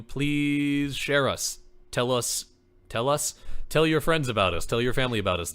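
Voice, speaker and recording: A man's voice: lowish voice, a bit bright. A man co-hosting a casual podcast, an actor with a voice people call made for radio, talking earnestly and saying "like" often. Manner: earnest, peppers speech with "like".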